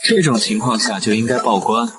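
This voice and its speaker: A man voicing a sardonic, money-minded young hero of an animated web drama, narrating and answering back in a deadpan, grumbling way. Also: mid-range voice, fairly quick, noisy background, close to an everyday voice. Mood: dry. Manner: deadpan, grumbling, exasperated retorts.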